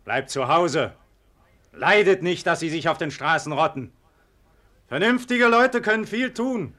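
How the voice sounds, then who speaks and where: An actor playing a commanding but gracious nobleman in a theatrical 1940s radio play, measured and authoritative. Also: a little high, animated, sharp tone. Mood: gracious, admonishing. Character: commanding, gracious nobleman calming his citizens.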